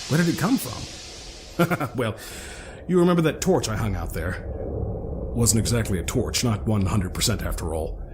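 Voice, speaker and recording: A man's voice: a little high, noisy background. A man playing a genial, chatty, centuries-old human rescuer in an audio drama, wordy and self-assured, often breaking off mid-thought. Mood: pleased, playful. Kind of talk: audio drama, one-sided dialogue acting.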